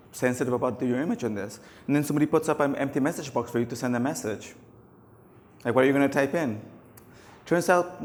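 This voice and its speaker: Man giving a talk, hall reverb. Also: mid-range voice. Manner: casual, anecdotal, many fillers and likes. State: losing his voice. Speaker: conference speaker. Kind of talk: conference talk on stage. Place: large conference hall.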